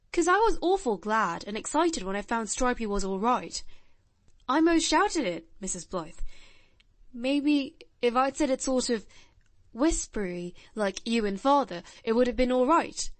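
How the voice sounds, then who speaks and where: A woman's voice: on the high side, lively intonation, measured pace, dry recording. A woman voicing a shy, blunt, earnest little boy of nearly ten in a storybook reading.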